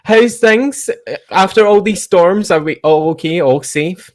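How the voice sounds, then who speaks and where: A man's voice: high voice, a little slow. A man with a Scottish accent hosting a casual podcast chat. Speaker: podcast host.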